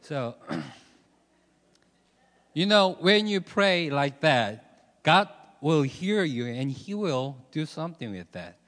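Slow-talking Korean-accented man in echoing hall. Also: a little high, sing-song, fairly bright tone. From South Korea. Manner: halting, joking about himself. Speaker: missionary guest speaker. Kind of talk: personal testimony to a church congregation. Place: large church hall, over a PA. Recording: echoey hall, long reverb.

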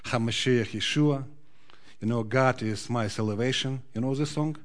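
A man with a Ukrainian accent, at an ordinary mid pitch, speaks to a congregation as guest speaker. He is friendly and keeps turning to the room with questions. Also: moderate pace. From Odessa, Ukraine. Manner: friendly, asks the audience questions, sings a short Hebrew song. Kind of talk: sermon talk to a congregation.

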